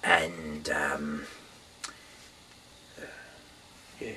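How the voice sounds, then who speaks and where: A man reading scripture aloud informally as a host, halting and rambling, stumbling over words and trailing off, with some noise in the recording. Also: extremely low pitch, little pitch movement, bright, forceful tone.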